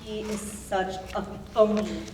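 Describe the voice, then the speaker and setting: A woman's voice: mid-range voice, steady tone, slow pace, a little mellow, close to an everyday voice. A woman playing a frightened, fretful new prison inmate in a staged reading of a musical. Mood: anxious. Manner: anxious, fretful. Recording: echoey room, distant mic, faint noise.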